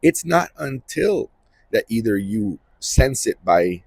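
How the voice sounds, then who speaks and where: A man hosting a Bible-reading series, giving informal commentary in a halting, repetitive way and often checking for agreement. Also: medium pitch, sing-song, creaky, a little mellow, slightly rough, dry recording.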